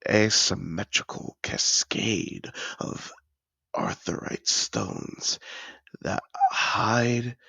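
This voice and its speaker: A man playing a suave, possessive dragon in disguise in a fantasy audio roleplay, courtly and seductive, savouring his words with a gravelly voice. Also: pushed and bright, dry recording, full character voice. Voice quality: very deep, gravelly, creaky. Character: suave possessive male dragon in disguise, acquisitive treasure collector. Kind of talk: romantic fantasy audio roleplay, addressed to the listener.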